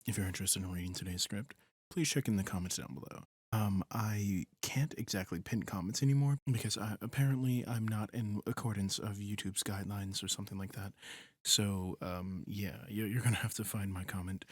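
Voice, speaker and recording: Low-voiced man, earnest and gravelly. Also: a bit bright, no room sound, clean studio sound. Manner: earnest, apologetic. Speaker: audio roleplay creator. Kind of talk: casual thank-you outro to listeners.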